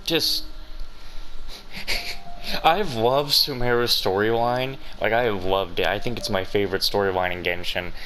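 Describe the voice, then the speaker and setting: A man's voice: on the low side, steady tone, unhurried, long pauses, pushed and bright, a little hiss or hum. A man giving casual playthrough commentary as a gaming streamer, informal, sweary and exasperated. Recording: voice over faint game music.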